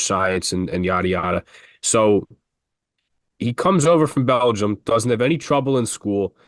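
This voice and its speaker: A man's voice: on the low side, no room sound, studio quality. A man co-hosting a college basketball podcast, talking casually and rambling with frequent restarts. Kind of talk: casual sports talk.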